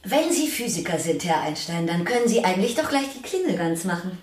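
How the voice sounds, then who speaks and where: An actress playing a stern, suspicious housekeeper in a radio drama, brisk, officious and disapproving. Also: female voice, fairly low pitch, very animated, a little mellow, roomy sound. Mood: bossy. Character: stern, suspicious, bossy female household gatekeeper.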